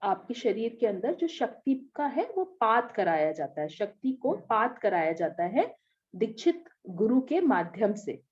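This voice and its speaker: Slightly hoarse woman teaching a class. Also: fairly high pitch, sing-song, unhurried, darkish tone, muffled recording. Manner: explanatory, often asks "ठीक है?" to check understanding. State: slightly hoarse throat, clearing throat. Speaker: Reiki teacher. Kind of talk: online class teaching with screen share.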